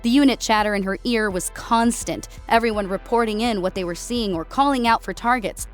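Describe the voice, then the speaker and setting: A woman's voice: medium pitch, brisk, soft background music. A woman reading scripted narration of a military science fiction story as an audiobook narrator.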